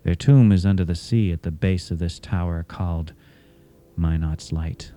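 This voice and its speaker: A man hosting a radio theatre show, delivering scripted, literary narration in a measured, dramatic way. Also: low-pitched. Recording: cassette of radio show, music behind.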